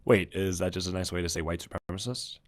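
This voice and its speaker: A man playing a brash, over-eager young male podcast host, loud and pitched up. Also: fast, close to an everyday voice. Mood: hyped.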